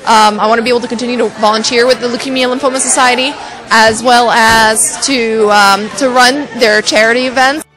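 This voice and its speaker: A woman talks off the cuff about her plans, casual and unscripted, pausing on hesitant fillers. Her voice sits a little high, and a crowd chatters clearly behind her. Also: fairly even intonation, poor audio. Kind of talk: casual spontaneous speech.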